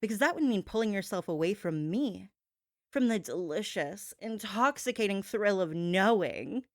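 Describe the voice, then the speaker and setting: A woman's voice: mid-range voice, expressive, dry recording, clean studio sound. A woman delivering a performed gossip-show monologue as a host, sassy and theatrical, leaning on words for dramatic emphasis. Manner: sassy, theatrical, drawn-out emphasis, addresses listener as babe.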